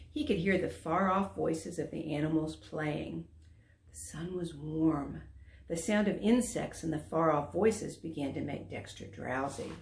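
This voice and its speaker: Low, creaky woman storyteller in echoey room. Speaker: volunteer storyteller. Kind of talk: read-aloud children's story narration.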